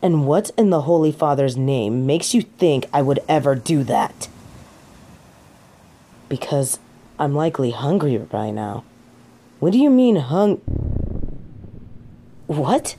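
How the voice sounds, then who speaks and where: A woman's voice: low-pitched, sing-song, takes it slowly, pauses often, mellow, a little hiss or hum, full character voice. A woman playing a snobby, indignant fallen angel in an audio roleplay, with pompous, formal, archaic phrasing and an easily affronted manner. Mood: disdainful, incredulous. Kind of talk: scripted audio roleplay acting.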